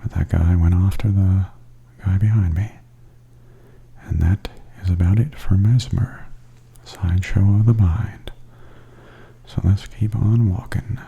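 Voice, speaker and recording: Very soft, husky, low-voiced man whispering. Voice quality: soft, breathy. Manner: hushed, half-whispered delivery. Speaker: host of ASMR walking tour videos. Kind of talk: softly spoken ASMR tour commentary.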